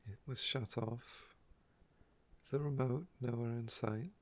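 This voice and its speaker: A soft-spoken man with a croaky voice, telling stories about his day in an unhurried, wistful ASMR ramble. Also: medium pitch, fairly even intonation. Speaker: ASMR video creator.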